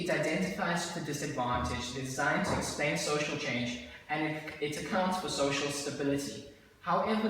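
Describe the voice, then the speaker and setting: Higher-voiced young man explaining slides. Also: a bit bright, slight background noise. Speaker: student presenter. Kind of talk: class presentation. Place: dim lecture room beside a projector. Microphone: far room mic. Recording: echoey room from far off.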